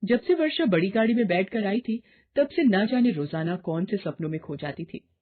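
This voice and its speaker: A woman's voice: medium pitch, expressive, normal speed. A woman narrating a moral story from a script, in a muffled recording.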